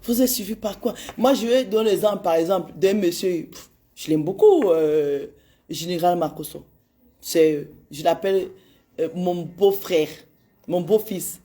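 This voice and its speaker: A woman's voice: lowish voice, animated, unhurried, mellow. A woman in her forties speaking straight to her followers, pleading with passion and repeating her points for emphasis. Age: 40s. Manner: impassioned and pleading, repeats phrases. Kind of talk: direct address to followers on social media.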